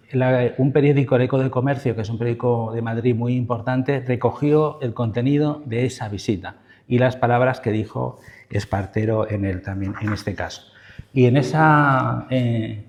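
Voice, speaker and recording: Mellow-voiced Spanish man lecturing. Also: medium pitch. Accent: Spain Spanish. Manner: discursive, frequent checking tags and asides. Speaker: history professor, lecturer. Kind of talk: public history lecture, semi-improvised.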